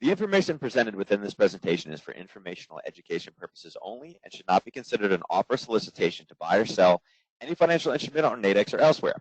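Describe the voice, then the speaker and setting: A man in his forties, hoarse from coaching, giving a trading webinar in a quick, casual way full of fillers. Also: fairly low pitch, big pitch swings, no echo. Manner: quick, filler-heavy, conversational. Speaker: trading instructor. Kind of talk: live webinar presentation. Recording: dull, dry lo-fi webinar audio.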